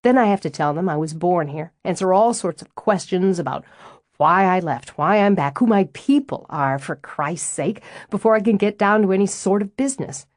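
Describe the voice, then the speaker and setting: A no-nonsense office manager, as a woman narrator voices her, crisp and dry in delivery. Her voice runs a touch low, with a close, dead-dry sound. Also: normal speed, a little mellow, no room sound, natural voice. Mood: exasperated. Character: brisk, buttoned-up northern office manager woman.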